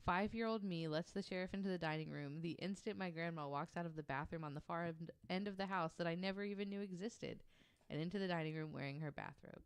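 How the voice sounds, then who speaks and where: A woman's voice: on the low side, quick pace, clean tone, dry recording. A woman co-hosting a podcast, chatting casually and reading out stories with her own asides. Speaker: podcast guest co-host.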